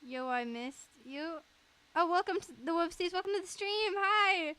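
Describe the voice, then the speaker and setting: A woman's voice: very high-pitched, normal speed, sharp tone. A woman livestreamer chatting casually in a giggly, enthusiastic way.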